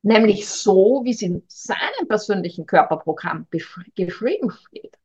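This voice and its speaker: A woman's voice: mid-range voice, lively intonation, moderate pace, a little mellow, breathy edge. A middle-aged woman, speaking as a communication coach in a thoughtful, hesitant way and addressing the listener directly. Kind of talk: reflective advice monologue. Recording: dull lo-fi recording.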